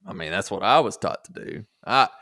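Male podcast host, lowish pitch, dry sound, folksy casual banter. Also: lively intonation, a little fast, sharp tone, dry recording. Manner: folksy, joking. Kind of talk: casual chat.

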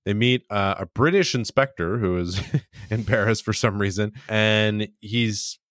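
A man with a lowish voice recaps an episode for a podcast in a loose, rambling way. He chuckles often and leans on fillers. The sound is dry and close. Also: unhurried, a bit bright, no room sound. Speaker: podcast host.

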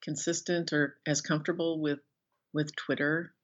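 A woman's voice: low voice, level tone, normal speed. A woman speaking casually as a guest author in an interview, thinking aloud in a rambling, self-effacing way. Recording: dull lo-fi call audio.

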